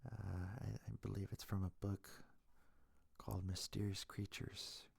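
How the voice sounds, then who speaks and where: A man softly telling a scary story in ASMR style, breathy and hushed, in short deliberate phrases close to the mic. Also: on the low side, flat delivery, no echo. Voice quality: breathy, whispery, husky. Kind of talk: ASMR soft-spoken scary story narration. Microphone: close mic, dry.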